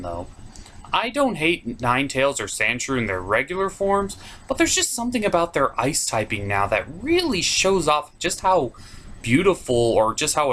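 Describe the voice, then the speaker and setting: Animated man hosting a countdown, widely swinging pitch. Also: a little hiss or hum. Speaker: host of a top ten list show. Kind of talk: casual opinionated countdown commentary.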